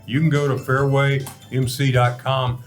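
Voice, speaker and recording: A man's voice: mid-range voice, little pitch movement, measured pace, soft background music. A man in his seventies from Texas with an American accent, hosting a talk show in a folksy, emphatic, conversational way. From Texas, United States. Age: 70s.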